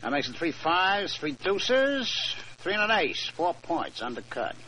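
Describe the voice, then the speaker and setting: A hard-edged, suspicious racing driver in an old radio play. The voice is high and forceful, with a curt, sardonic bite. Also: male voice, very animated, a little slow, slight background noise, exaggerated voice, poor audio. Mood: smug. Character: suspicious, hard-edged, sore-loser race car driver. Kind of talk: 1940s radio drama acting.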